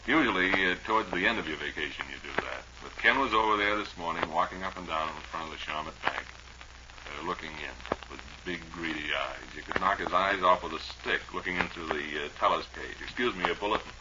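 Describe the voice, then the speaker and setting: A man's voice: sits low, brisk. A man hosting a radio show with wry, rambling, playful comic banter. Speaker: radio host. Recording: dull old radio recording, faint noise.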